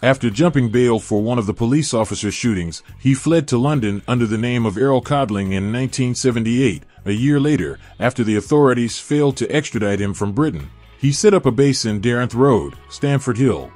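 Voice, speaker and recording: A man giving a steady, measured scripted documentary narration. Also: medium pitch, dry recording, faint music behind.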